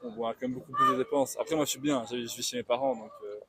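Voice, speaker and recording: A man's voice: mid-range voice, rapid-fire, sharp tone, slight background noise. A man in his twenties, raised in Switzerland, answering a street interview candidly and easily into a handheld mic outdoors. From Switzerland, born in Portugal. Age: 20s. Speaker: interviewee, passerby.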